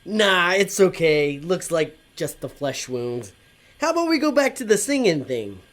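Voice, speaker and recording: Woman as a low-voiced, dim, goofy male sidekick. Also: sing-song, unhurried. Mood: easygoing. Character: dim, cheerful demon sidekick, a bumbling male schemer. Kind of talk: audio comedy drama acting.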